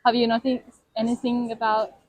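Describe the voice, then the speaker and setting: A woman's voice: on the high side, flat delivery, normal speed, low-quality recording. A woman doing street interviews outdoors, asking short questions and nodding along with constant backchannels.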